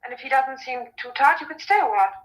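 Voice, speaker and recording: An actress playing an anxious, hospitable daughter caring for her sick father in a radio drama, speaking in halting, hesitant phrases. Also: sits high, expressive. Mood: uneasy, practical. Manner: hesitant, halting speech with repeated words.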